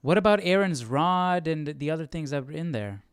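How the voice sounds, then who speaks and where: Man musing casually, crisp dry voice. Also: on the high side, lively intonation, normal speed, no room sound. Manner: musing, questioning, conversational. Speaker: host of a live Bible study. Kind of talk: casual thinking-aloud commentary.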